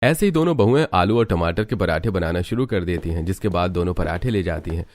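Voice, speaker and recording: A man narrating a scripted animated family story. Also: low-pitched, a little fast, no room sound.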